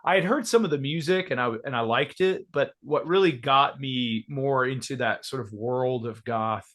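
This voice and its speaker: A man's voice: medium pitch, moderate pace, fairly bright tone. A man in his thirties from Georgia in the United States, a musician talking loosely in an interview, with many fillers and restarts. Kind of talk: casual interview chat.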